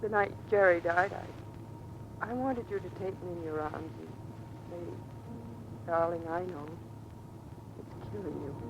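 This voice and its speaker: A woman acting an emotional, halting skipper's wife in a vintage radio drama. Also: dull audio. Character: troubled, self-sacrificing wife of a naval skipper. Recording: noisy vintage radio, engine rumble behind.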